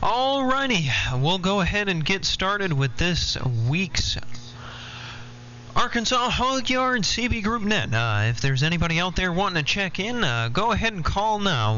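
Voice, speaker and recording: A man's voice: high-pitched, pushed and bright. A man hosting a CB radio check-in in a folksy, courteous manner, calling a roll as net control. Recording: muffled, clearly noisy CB radio audio.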